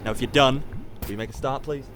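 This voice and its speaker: A man's voice: medium pitch, fast, natural voice. Brash, impatient man scientist acted by a man, over noise. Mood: impatient, cocky. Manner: brash, sarcastic, dismissive. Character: cocky impatient time-travelling scientist, grown man. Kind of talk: audio drama acting.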